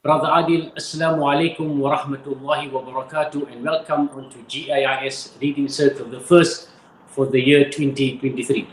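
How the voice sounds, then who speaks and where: A man hosting an online reading circle, speaking in a measured, oratorical way with religious greetings. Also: medium pitch, steady tone. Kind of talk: formal spoken introduction and interview. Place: indoors with a view of the harbor. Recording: roomy echo with faint background hiss.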